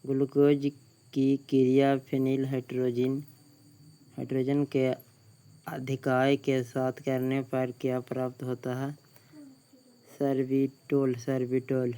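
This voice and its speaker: A man, a Bihari Hindi speaker, reading out exam questions in a slow, flat way, repeating each question before giving the answer. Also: mid-range voice, smooth. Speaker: exam tutor.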